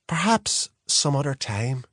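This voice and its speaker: A man's voice: medium pitch, sing-song, measured pace, fairly bright tone, no room sound. Guy doing a shy young college girl, hesitant n polite, audiobook dialogue, very dry sound. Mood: disappointed, polite. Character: shy young female student, polite and wary.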